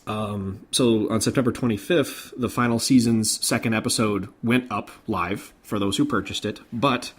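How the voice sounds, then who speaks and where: Low-voiced man hosting a casual podcast. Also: fairly even intonation, moderate pace, hardly any pauses, breathy edge. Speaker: podcast host. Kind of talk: casual podcast chat about game industry news.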